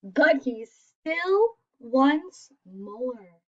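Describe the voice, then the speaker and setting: A woman reading a children's picture book aloud as an expressive storyteller who draws listeners in. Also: high voice, drawn-out words, dull audio. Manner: expressive, asks listeners questions.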